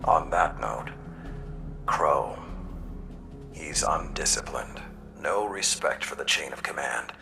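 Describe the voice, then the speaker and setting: Gruff old warlord, extremely deep gravel. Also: male voice, expressive, slow pace, pauses often, exaggerated voice. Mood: disapproving. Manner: terse, severe. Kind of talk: video game cutscene acting. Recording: loud music behind voice.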